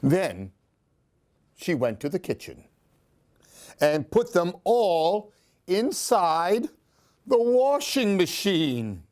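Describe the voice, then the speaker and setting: A man's voice: high-pitched, big pitch swings, very slow, long pauses, darkish tone. A man hosting a story time, reading Halloween picture books aloud in a theatrical way, with questions and asides for young listeners. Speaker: storyteller and host of a children's book show. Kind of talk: expressive read-aloud of children's Halloween stories.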